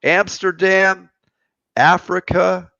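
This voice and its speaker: Gravelly-voiced man in his fifties hosting. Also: sits high, level tone, takes it slowly, sharp tone, dry recording. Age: 50s. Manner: chatty, joking, greets viewers. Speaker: host of a live online show. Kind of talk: casual live-stream chat. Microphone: computer mic on a live video stream. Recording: dry, slightly dull stream audio.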